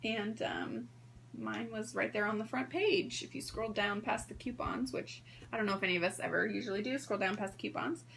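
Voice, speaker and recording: A woman in her thirties hosting a sewing livestream in a hoarse voice, chatting casually and greeting viewers by name. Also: mid-range voice, a little fast, fairly bright tone. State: hoarse from strep throat.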